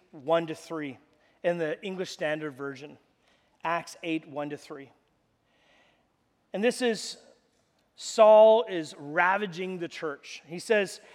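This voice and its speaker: A man preaching a sermon in a church, with a loud, animated delivery and frequent restarts. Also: high-pitched. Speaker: pastor. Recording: long hall reverb.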